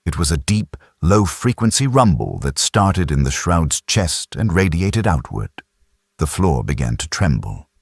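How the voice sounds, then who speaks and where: A man narrates a sci-fi audiobook in a very deep, husky voice. His delivery is dramatic, with animated pitch movement. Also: measured pace, no echo, studio quality.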